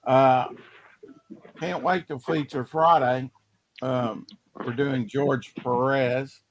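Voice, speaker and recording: A male podcast host with a slightly raspy voice, chatting casually in a rambling way, full of fillers and trailing off. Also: medium pitch, steady tone, slow pace, no echo, poor audio. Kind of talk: casual chat.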